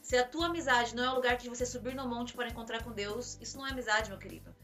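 Bright, slightly high-voiced Brazilian woman. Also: racing. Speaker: podcast co-host. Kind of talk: casual religious reflection. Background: music under the voice.

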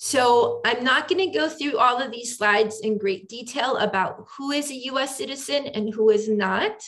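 Slow-paced bilingual woman attorney. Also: a little high, a bit bright. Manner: switches between English and Spanish, many ums. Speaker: immigration attorney and presenter. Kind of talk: bilingual online seminar presentation. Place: home video call. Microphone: video call microphone.

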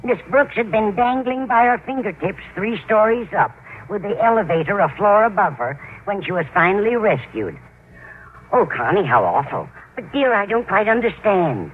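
A woman's voice: medium pitch, very animated, normal speed, narrow, tinny audio, natural voice. An actress playing a kindly, scatterbrained older landlady who fusses in a motherly, literal-minded way, in old radio comedy style. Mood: startled, fretful. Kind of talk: 1940s-50s radio comedy acting. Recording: thin, noisy old-time radio broadcast.

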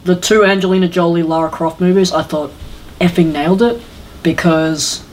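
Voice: medium pitch, moderate pace. A podcast host chatting casually about films in an informal way, often acting out mock quotes. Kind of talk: casual chat, film opinions. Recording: noisy room with background ambience.

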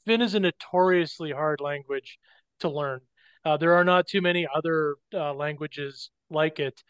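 A man's voice: fairly high pitch, moderate pace, a bit bright, clear, resonant tone, no echo. A man co-hosting a podcast, telling a short personal story while leading into a question. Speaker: podcast co-host. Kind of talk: casual interview chat.

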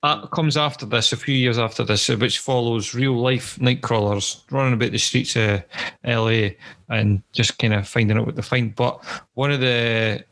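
A man's voice: mid-range voice, steady tone, moderate pace, vocal fry, a bit bright. A man co-hosting a podcast, telling a story in casual chat, swearing freely between hesitant fillers. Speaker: podcast co-host.

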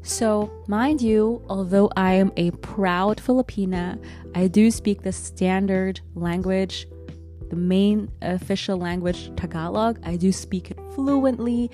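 A woman hosts her own podcast, speaking alone in an earnest, reflective way that encourages her listeners. Her voice is mellow and relaxed. Faint music plays softly behind her. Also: mid-range voice, slow. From Germany. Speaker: podcast host. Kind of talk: solo podcast monologue.

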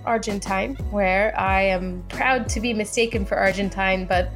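A woman's voice: mid-range voice, fairly even intonation, unhurried, soft background music. A woman co-hosting a podcast in casual chat, with a wry, playful way of talking. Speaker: podcast co-host.

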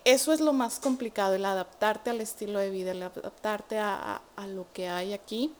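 A young Mexican woman with a Mexican Spanish accent, a guest in an interview, telling her story in an animated way with many fillers. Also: medium pitch, moderate pace. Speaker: guest, immigrant to Canada. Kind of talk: casual interview chat.

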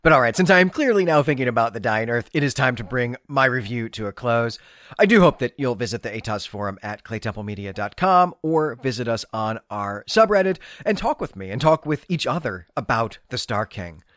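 Man, medium pitch, very lively intonation, enthusiastic podcast host, emphatic conversational book review, dry close sound. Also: brisk, no room sound.

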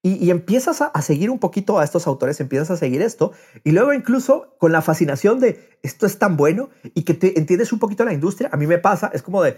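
A man's voice: high voice, animated, fast talker, few pauses, darkish tone, husky. A man chatting casually on a podcast, dropping English phrases into his speech and acting out imagined quotes. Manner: drops English phrases into Spanish. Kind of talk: casual conversational podcast talk.

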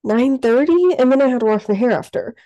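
A young woman co-hosting a podcast while sick, her voice congested and stuffed up. Her tone is mellow and soft-edged, recorded close in a dry room. She rambles in a casual chatty way, leaning on "like" constantly. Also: high voice, lively intonation, a little fast, dry recording, dull audio. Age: young adult. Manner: chatty, lots of "like" fillers. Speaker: podcast co-host. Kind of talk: casual chat.

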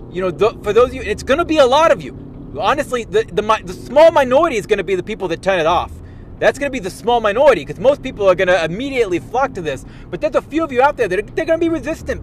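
A man playing a pompous, high-voiced gaming know-it-all. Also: slight background noise. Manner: rambling, stumbling restarts, repeats himself; boastful and lecturing. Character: pompous self-important male tabletop gaming know-it-all. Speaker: self-styled podcast expert. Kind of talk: parody monologue.

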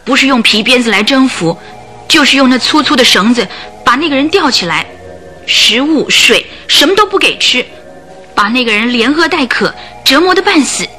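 A woman's voice: sits high, animated, moderate pace, bright, forceful tone, faint music behind. An actress in a radio play voicing a bitter, sorrowful circus trainer's wife, telling her hard life in a plaintive, dramatic way with sighs. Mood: resentful.

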